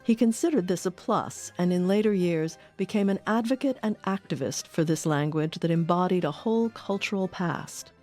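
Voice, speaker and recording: A woman's voice: lowish voice, normal speed, hardly any pauses, a little mellow, soft background music. A woman reading scripted documentary narration as the narrator, close on a studio microphone.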